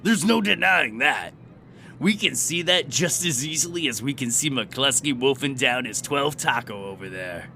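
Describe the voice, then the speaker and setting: A man playing a fired-up high school football coach giving a rousing, emphatic pep talk in an audio drama. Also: lively intonation, normal speed, gravelly, pushed and bright, a little hiss or hum.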